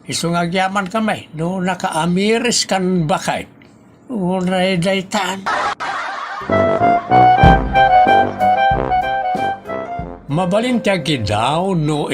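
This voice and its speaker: A man with a northern Philippine accent acting an exasperated husband and father in a comedy audio drama, scolding deliberately and emphatically. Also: high voice. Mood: admonishing.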